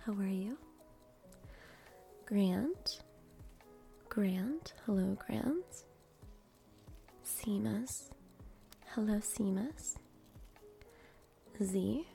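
Very slow, whispering ASMR woman. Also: mid-range voice. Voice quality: breathy whisper mixed with soft speech. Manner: gentle, slow, pausing between short phrases. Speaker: host greeting viewers by name. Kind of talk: ASMR name reading.

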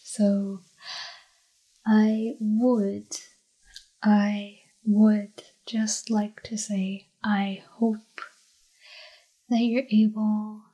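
Slow, mellow-voiced woman half-whispering. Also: mid-range voice, little pitch movement, lo-fi sound. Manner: rambling, drifts between soft voice and whisper. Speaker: ASMR creator. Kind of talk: soft-spoken ASMR chat. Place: apartment at night.

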